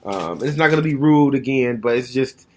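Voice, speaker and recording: A man in his mid-thirties speaks at an average pitch in a relaxed, reflective monologue recorded at home. His delivery is frank and direct, and he thinks out loud as he talks. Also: normal speed, a little hiss or hum. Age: 30s. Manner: frank, plainspoken, thoughtful. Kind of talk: casual reflective monologue to camera.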